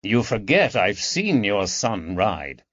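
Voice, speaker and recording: A man voicing an earnest, thoughtful gentleman detective with educated, measured speech in an audiobook. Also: lowish voice, big pitch swings, no echo, full character voice. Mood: amused. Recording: dull lo-fi recording.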